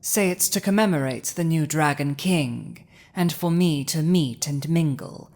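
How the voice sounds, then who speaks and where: Casting: female actor as villainous dragon queen, lowish register, very unhurried, haughty and teasing, for intimate roleplay audio drama. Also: a little mellow. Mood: thoughtful, scheming. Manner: languid, theatrical, haughty delivery with dramatic pauses.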